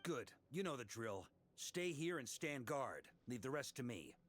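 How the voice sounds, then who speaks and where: An actor playing a confident, easygoing knight commander in a video game, speaking with relaxed authority. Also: male voice, medium pitch, a little slow, slight background noise. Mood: calm, commanding. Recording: music under the voice.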